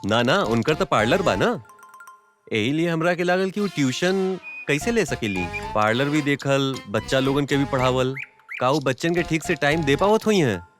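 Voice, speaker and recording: A man playing a busy, doting father in an animated cartoon, reasoning and placating, with a Bhojpuri accent. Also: a little high, moderate pace, music in the background, natural voice. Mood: doubtful.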